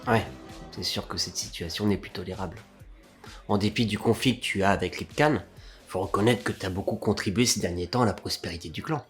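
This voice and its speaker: A man playing a composed, scheming crime clan member in an audio drama, speaking in a measured, conspiratorial manner. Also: on the low side, faint music behind, natural voice.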